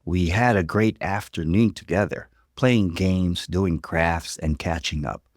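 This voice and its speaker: A man reading a story aloud as scripted, expressive narration. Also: low voice, a little slow, no echo, studio quality.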